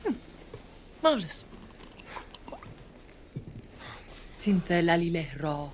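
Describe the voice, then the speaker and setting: An actress playing a grand, worldly dame who collects antiquities and dabbles in spying in a video game, speaking in an arch, genteel, droll way. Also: female voice, fairly low pitch, sing-song, moderate pace, a little hiss or hum. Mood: intrigued. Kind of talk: video game cutscene acting.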